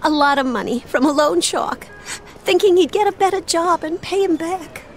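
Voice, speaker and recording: An actress playing a young sister, soft and hesitant, in a game cutscene. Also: female voice, very high-pitched, moderate pace, slight background noise. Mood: worried. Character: young sister, anxious and gentle.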